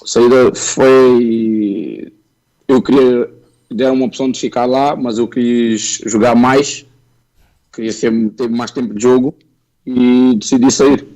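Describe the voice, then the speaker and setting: A man's voice: mid-range voice, steady tone, slow, darkish tone, rough recording. A young man, born in Angola and raised in Portugal, answering interview questions politely and modestly as a footballer. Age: young adult. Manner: polite, modest, plain-spoken. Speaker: footballer guest.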